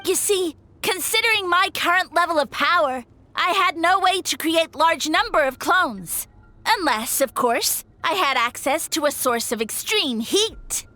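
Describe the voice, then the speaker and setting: A woman's voice: extremely high pitch, expressive, a little slow, bright, forceful tone, exaggerated voice. A woman voicing a haughty, scheming mushroom villain who poses as a god, pompous and theatrical, in a video game. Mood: gloating. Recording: music behind the voice.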